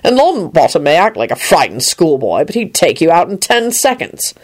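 A woman's voice: on the low side, sing-song, normal speed. A woman voicing a huge, sonorous government minister in a storybook, stern and solemn with a wry streak. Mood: exasperated. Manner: solemn, wry, bellowing when cross.

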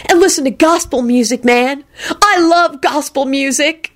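A woman's voice: high-pitched, moderate pace, pitched above the performer's own voice, lightly acted. A woman voicing a brash, rowdy stoner man with a slangy drawl, pleading and mock-pious in an audiobook story. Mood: loud, pious. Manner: slangy drawl, tacking "man" and "dude" onto sentences.

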